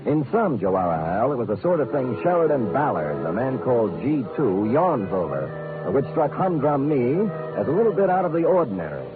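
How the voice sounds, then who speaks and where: An actor playing a wisecracking hardboiled private detective with an American accent, wry and clipped, in 1940s radio noir style. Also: male voice, mid-range voice, big pitch swings, a little fast, lightly acted. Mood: dry, narrating. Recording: narrow lo-fi old radio, music behind.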